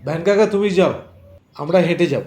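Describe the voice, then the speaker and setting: A man's voice: high voice, brisk, a little mellow, natural voice. A man playing an easygoing young man who guides his friend casually, in an audio drama with a roomy sound. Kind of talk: Bengali audio story acting.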